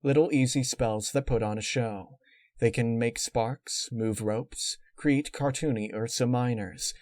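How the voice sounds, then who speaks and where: Calm, calculating villainess unicorn read by a man in a mid-pitched voice, lecturing and matter-of-fact, dry close recording for a dark storybook. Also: measured pace, no room sound, natural voice. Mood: calm menace. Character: cold, calculating female unicorn villain, calmly menacing.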